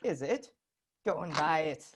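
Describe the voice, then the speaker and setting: A woman's voice: low-pitched, lively intonation, unhurried, breathy edge, full character voice. A young woman playing a repentant old miser in a dramatic, emphatic reader's theatre performance. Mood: giddy delight.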